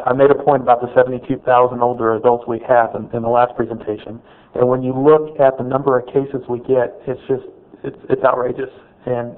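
A man chairing a public board meeting, speaking haltingly with repeated words. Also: medium pitch, clean tone. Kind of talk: formal meeting address. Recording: tinny phone-like meeting audio.

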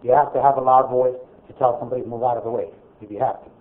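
A man speaking loudly and bluntly during public comment at a board meeting, recounting a grievance. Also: mid-range voice, fairly even intonation, very fast. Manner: loud, blunt, aggrieved storytelling. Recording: tinny phone-like meeting audio.

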